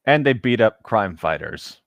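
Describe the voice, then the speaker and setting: A man co-hosting in casual chat, reacting with playful, slightly halting asides. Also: fairly low pitch, very animated, vocal fry, a bit bright, no echo. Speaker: co-host.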